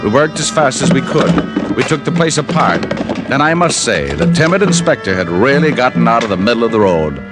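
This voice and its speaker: An actor playing a wry, hardboiled American private detective, narrating and wisecracking in an old radio drama. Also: male voice, medium pitch, big pitch swings, brisk, loud music behind the voice, lightly acted. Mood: tense storytelling. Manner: dry, wisecracking.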